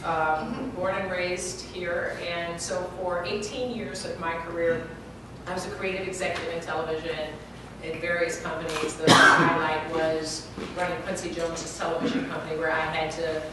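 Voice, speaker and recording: Middle-aged woman from california, lowish voice, animated stories, far away in a loud echoey room. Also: moderate pace, a crowd in the background. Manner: animated storyteller, laughing. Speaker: panelist. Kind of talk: self-introduction with anecdotes. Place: hotel event room.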